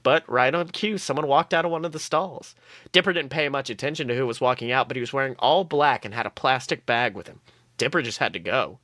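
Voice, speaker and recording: A man hosting a show, reading comic fan fiction aloud in an expressive, playful storytelling way. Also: mid-range voice, brisk, no room sound, clean studio sound. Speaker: podcast host.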